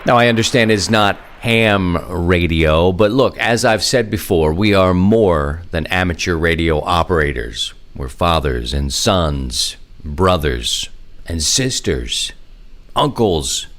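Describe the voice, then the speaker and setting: Podcast host, male, lower register, monologue and sponsor-read delivery. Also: expressive, slow pace, fairly bright tone.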